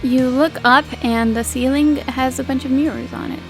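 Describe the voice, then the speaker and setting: A woman's voice: high voice, fairly even intonation, normal speed, soft background music. A woman improvising descriptions as game master of a tabletop roleplaying game, in an informal, feeling-her-way manner.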